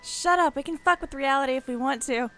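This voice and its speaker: A woman's voice: sits high, fast talker, pushed and bright, soft background music. A woman hosting a casual game stream, informal and sweary as she thinks aloud while playing.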